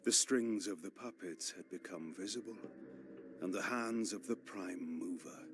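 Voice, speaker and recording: An actor playing a proud, brooding ancient vampire antihero in a video game, speaking in a solemn, theatrical, gravelly way. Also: male voice, low-pitched, measured pace, noisy background. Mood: solemn, reflective. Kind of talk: dramatic scripted game narration.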